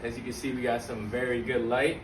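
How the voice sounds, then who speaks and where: A man's voice: medium pitch, level tone, fairly quick, background noise. A man hosting his own online video, chatting informally and slangily straight to viewers as he explains things and tells stories. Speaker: YouTube vlogger and channel host. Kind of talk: casual hands-on tech tutorial with personal storytelling.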